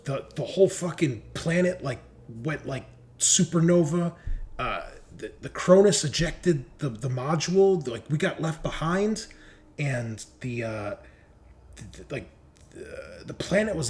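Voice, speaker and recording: A man acts out a shaken crewman fresh from cryosleep in a tabletop sci-fi game. He speaks slowly, stammering and breaking off as he gropes for memories. There is faint noise behind him. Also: mid-range voice, natural voice. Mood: distressed, rattled. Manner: halting, stammering, fragmented recollection.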